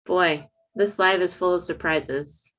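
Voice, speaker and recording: Chatty woman, Spanish native, low voice. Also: steady tone, slow pace, fairly bright tone. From Puerto Rico. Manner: chatty, rambling, self-correcting. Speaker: watercolor teacher hosting a livestream. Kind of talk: casual livestream chat. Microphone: desk USB microphone.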